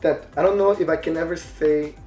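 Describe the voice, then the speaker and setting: A man talking thoughtfully in a casual conversation, pausing often to search for his words. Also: on the high side, fairly even intonation, darkish tone. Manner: thoughtful, hesitant, many fillers. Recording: echoey room with faint music.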